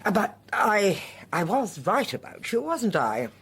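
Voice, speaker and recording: Genteel old lady for radio drama: husky, low-ish, very lively intonation, shrewd and probing. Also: unhurried, heavily acted. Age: older. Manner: genteel, well-mannered, probing.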